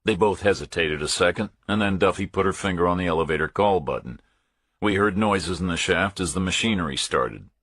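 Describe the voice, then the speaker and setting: A man reading a first-person thriller audiobook as narrator, measured, restrained and matter-of-fact. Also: sits low, expressive, fairly bright tone, slightly rough, no echo, clean studio sound.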